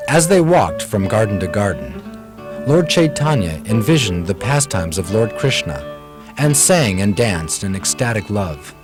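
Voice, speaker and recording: Deep, lively male storyteller over music. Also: a little slow. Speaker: narrator. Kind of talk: scripted storytelling narration. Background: loud music.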